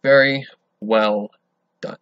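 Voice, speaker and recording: A man reacting to a story, excitable and thinking out loud, swearing now and then. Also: lowish voice, slow, clean tone, no echo. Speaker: reaction video host. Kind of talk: casual reaction commentary. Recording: dull lo-fi home recording.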